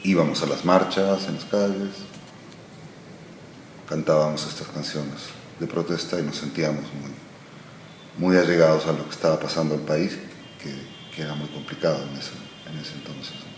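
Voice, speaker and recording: Deep-voiced Argentine-born man, slow and reflective. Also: steady tone. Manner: slow, thoughtful, trailing off with many pauses. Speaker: musician guest. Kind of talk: relaxed interview answers. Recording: echoey room with noise.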